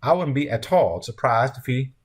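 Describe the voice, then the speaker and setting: A man's voice: mid-range voice, big pitch swings, normal speed, breathy edge, pitched above the performer's own voice. A man voicing a folksy, suspicious country woman in a story reading. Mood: gossipy.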